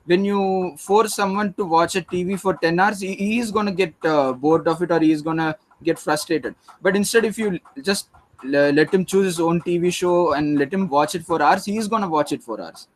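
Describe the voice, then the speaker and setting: A man's voice: sits high, normal speed, a little hiss or hum, poor audio. A young man with an Indian English accent making his case in a group discussion, earnest, with many fillers and restarts. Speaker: debate participant.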